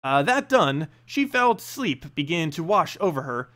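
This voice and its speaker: A man narrating a fantasy story aloud in an expressive, dramatic storybook style. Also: a little high, a little slow. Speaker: narrator and channel host.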